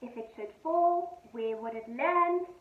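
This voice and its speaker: A woman with a high voice reads children's verse aloud in a sing-song, teacherly way. Her pitch swoops expressively. The recording is roomy and echoing, as if she stands some way from the microphone. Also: animated, slow pace. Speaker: children's storytime host and teacher. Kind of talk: reading children's poems aloud. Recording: roomy, distant, dull-sounding microphone.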